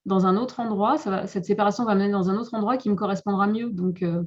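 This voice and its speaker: A woman's voice: mid-range voice, fairly even intonation, fast talker. A woman answering questions in a webinar, thoughtful and hesitant, often restarting her sentences over a video call. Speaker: guest speaker. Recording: dull lo-fi call audio.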